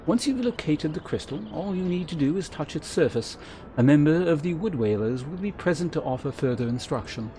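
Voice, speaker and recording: Man voicing a courteous, formal fantasy innkeeper, measured. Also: a little high, lively intonation, mellow, slight background noise, pitched above the performer's own voice, natural voice. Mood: instructive, formal. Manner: measured, formal, archaic wording. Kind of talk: read-aloud game character dialogue.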